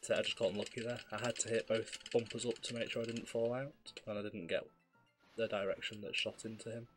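A man, slightly hoarse with a cough, calmly explaining his speedrun as he plays. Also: fairly low pitch, quick pace. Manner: relaxed, explanatory, self-deprecating. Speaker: speedrunner commentating his own run. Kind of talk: live gameplay commentary. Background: faint game music.

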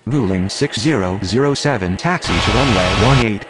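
An actor playing a businesslike air traffic radio speaker in a game, formal and procedural, in clipped aviation phraseology. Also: male voice, fairly low pitch, expressive, moderate pace, lightly acted. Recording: faint vehicle and ambient noise.

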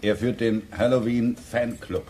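An actor playing an easygoing village uncle in a children's radio play, speaking in a folksy, chuckling way. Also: male voice, medium pitch, steady tone, measured pace, background noise, lightly acted. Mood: gossipy, relaxed.

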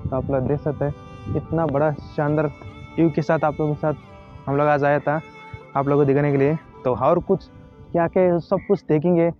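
A young man touring a dam, talking fast and repeating himself as he films himself outdoors with a phone held at arm's length. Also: on the high side, steady tone, highs cut off, over music. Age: young adult. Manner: hurried, repetitive, keeps urging viewers along. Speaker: travel vlogger. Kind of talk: casual selfie vlog tour. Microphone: phone camera at arm's length.

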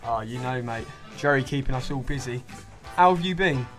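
An actor playing a slangy, defiant British newspaper reporter in wartime London, in a radio drama. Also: male voice, mid-range voice, moderate pace, a bit bright, close to an everyday voice. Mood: chummy, casual. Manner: chummy, slangy. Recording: music behind the voice.

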